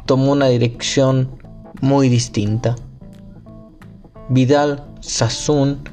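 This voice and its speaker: A man slowly reading a nonfiction book aloud, careful and deliberate, now and then stumbling over a word and starting it again. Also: medium pitch, darkish tone. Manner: slow, careful reading with occasional slips and restarts. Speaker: amateur reader.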